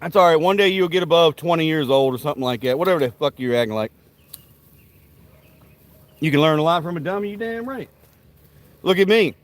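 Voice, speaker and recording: Rowdy, cussing backyard live-streamer. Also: male voice, on the high side, animated, fairly quick, long pauses. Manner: profane, rowdy trash talk and folksy banter. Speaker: livestream host. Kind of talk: rambling live-stream chat with viewers. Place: outdoors at a backyard grill.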